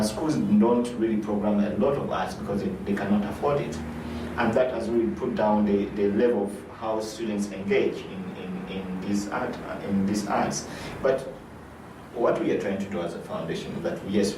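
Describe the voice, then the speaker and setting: A man's voice: on the low side, fairly even intonation, moderate pace. A man who directs an arts foundation, answering interview questions with many fillers and restarts. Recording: echoey room, mic far off, noisy.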